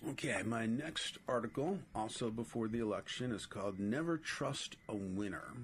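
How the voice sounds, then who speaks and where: A man's voice: lowish voice, normal speed. Middle-aged male writer-host with a New York accent, reading political essays, slight noise behind. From New York City.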